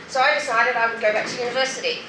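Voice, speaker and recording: A woman's voice: fairly high pitch, fairly even intonation, racing, sharp tone. A middle-aged woman with an Australian accent giving a candid, emphatic personal testimony with wry humour in a public speech. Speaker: guest speaker, anti-poverty group member sharing her story. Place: roomy venue at a public event. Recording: roomy, noisy, distant event microphone.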